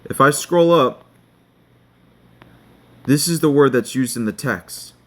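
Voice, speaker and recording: A man giving a screen-share tutorial, talking slowly and checking in with his viewers. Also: medium pitch, fairly bright tone. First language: not Hebrew. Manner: slow, checking in with "okay?". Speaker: online teacher.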